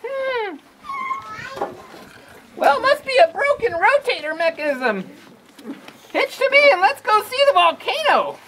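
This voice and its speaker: A woman's voice: very high-pitched, big pitch swings, unhurried, pauses often, fairly bright tone, slight background noise, heavily acted, rough recording. A woman voicing a perky, helpful little old train in a children's puppet show.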